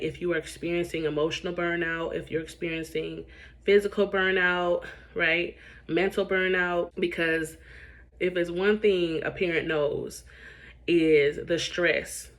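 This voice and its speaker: Tired, raspy-voiced woman in her thirties. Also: fairly low pitch. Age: 30s. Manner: earnest, keeps asking "right?". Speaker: parenting coach. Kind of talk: casual talk to camera.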